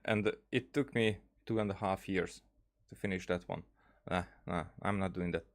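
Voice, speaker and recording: A man giving casual gameplay commentary, thinking aloud in a halting way and often repeating words. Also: low-pitched, fairly even intonation. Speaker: game streamer.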